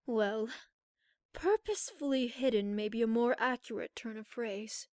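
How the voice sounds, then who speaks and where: A woman playing a grave, regal pony princess in an audio drama, speaking in a formal, measured, composed way. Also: a little high, darkish tone, no room sound, studio quality, natural voice. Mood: firm, composed. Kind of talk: scripted audio drama acting.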